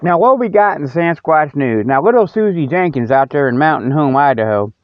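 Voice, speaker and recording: A man's voice: fairly high pitch, animated, brisk, no echo. A man hosting a comic report in a casual, rambling, folksy monologue, often repeating himself. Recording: dull, dry lo-fi audio.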